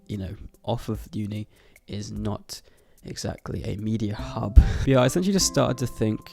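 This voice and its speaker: A young man with a stuffy nose from a cold, rambling casually to camera about moving into his student flat, swearing often and laughing between thoughts. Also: lowish voice, normal speed, creaky, faint music behind. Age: young adult. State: congested from a cold. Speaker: YouTuber. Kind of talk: casual vlog chat to camera.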